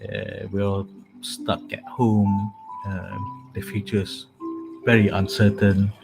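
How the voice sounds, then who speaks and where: A man with a calming, soothing voice, softly hosting a casual sleep meditation talk with many hesitant fillers. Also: on the low side, takes it slowly, gravelly. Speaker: host. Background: calming music.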